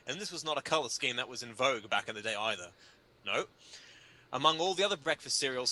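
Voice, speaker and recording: Animated, bright-voiced man, sardonic comic reader. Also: medium pitch. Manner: mock-indignant, emphatic, sardonic delivery. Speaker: reader performing a humor article. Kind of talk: dramatic comedic reading of an article.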